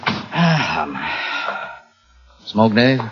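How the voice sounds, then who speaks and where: An actor playing a weary, sympathetic police lieutenant in vintage radio crime drama, halting and matter-of-fact. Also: male voice, on the low side, very animated, noisy background, full character voice. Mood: awkward, sympathetic. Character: weary, sympathetic police lieutenant, loyal but by-the-book colleague. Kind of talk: 1940s-50s radio crime drama acting. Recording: dull vintage radio recording with music.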